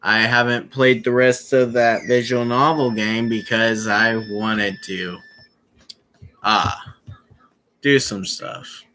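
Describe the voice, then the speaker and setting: A young man talks to his stream audience in a slow, unhurried way, pausing often and trailing into fillers and restarts. His voice sits at an ordinary pitch with a bright edge. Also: little pitch movement, low-quality recording. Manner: rambling, halting, many fillers and restarts. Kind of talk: casual live-stream chat.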